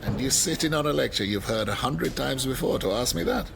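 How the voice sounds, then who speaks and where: An actor playing an outspoken, wry, middle-aged history professor in a radio drama, emphatic and rhetorical. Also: male voice, mid-range voice, lively intonation, fairly quick, vocal fry, noisy background. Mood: guarded, teasing. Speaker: university lecturer. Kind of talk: radio drama acting, lecturing.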